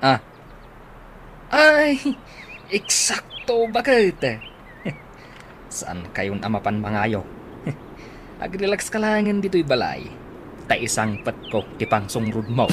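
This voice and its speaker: An actor playing a mischievous, scheming old husband in an Ilocano radio comedy drama, with an Ilocano accent and a chuckling, exclamatory manner. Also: male voice, mid-range voice, wildly swooping pitch, slow pace, long pauses, fairly bright tone, exaggerated voice. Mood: gleeful, sly. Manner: chuckling, exclamatory, swooping delivery. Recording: noisy radio drama with ambience.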